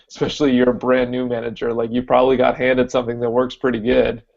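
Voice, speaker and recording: A man co-hosting a casual podcast chat, deep-voiced, thinking aloud with lots of fillers. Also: flat delivery, brisk, gravelly, darkish tone, highs cut off. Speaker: podcast co-host.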